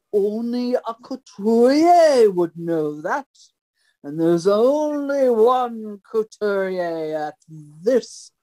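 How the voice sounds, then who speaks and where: A woman's voice: medium pitch, a little mellow, low-quality recording. A woman playing an imperious, theatrical great detective with a pompous, declamatory delivery in an old-time radio mystery parody.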